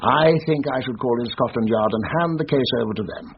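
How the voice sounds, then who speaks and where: An actor playing a brisk, confident upper-class colonel who is easily exasperated, in a radio drama. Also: male voice, mid-range voice, lightly acted. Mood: decisive. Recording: muffled radio drama with faint noise.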